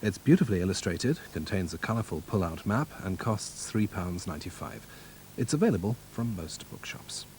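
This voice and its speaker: A man's voice: lowish voice, lively intonation, normal speed, darkish tone, a little hiss or hum. A man with a British accent reading scripted television continuity announcements.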